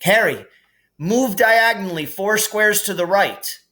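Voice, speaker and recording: A man voicing a frank, thoughtful boy strategist who explains and gives instructions deliberately in a storybook reading. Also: high-pitched, bright, forceful tone, voice pushed up. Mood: commanding.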